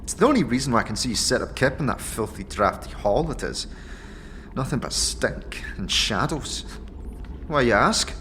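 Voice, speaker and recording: Man voicing a scrappy thief girl, swooping pitch. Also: very animated, normal speed, fairly bright tone, a little hiss or hum. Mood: gossipy, sly. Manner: colloquial thieves' slang with inverted, repeated tag phrases. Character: wary, greedy tiefling thief with a tail, female, scrappy and sneering. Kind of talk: audio drama character acting.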